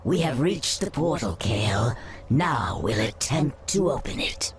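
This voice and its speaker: Imperious, portentous serpentine ally. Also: male voice, mid-range voice, big pitch swings, a little slow, faint music behind. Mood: urgent. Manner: theatrical, formal, portentous. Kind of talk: fantasy video game voice acting.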